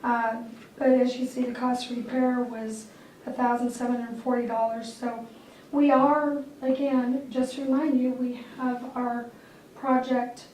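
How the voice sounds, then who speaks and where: A woman with a self-described squeaky voice giving a matter-of-fact airport manager's report at a public board meeting, clearing her throat now and then. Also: flat delivery, measured pace, darkish tone. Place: meeting room. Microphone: distant room mic. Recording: echoey room from far off, faint noise.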